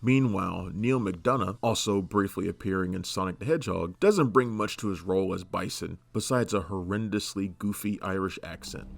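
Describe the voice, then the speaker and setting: A man with a slightly low voice narrates a scripted video essay as its host. His delivery is snarky and opinionated, and he swears freely as he goes. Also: moderate pace, gravelly, few pauses.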